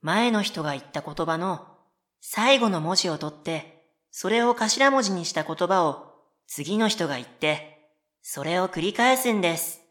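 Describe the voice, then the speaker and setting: Woman as whimsical schoolgirl, rather low pitch, very slow delivery, polite, deadpan, stubborn, radio comedy. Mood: coyly insistent. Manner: polite speech, deadpan, self-paced. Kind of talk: comedic radio drama dialogue.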